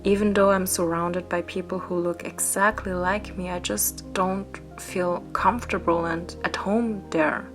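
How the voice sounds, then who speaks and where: A woman's voice: lowish voice, fairly even intonation, unhurried, hardly any pauses. A woman from Austria, a native German speaker, rambling casually in a craft vlog voice-over. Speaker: craft vlogger. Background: background music.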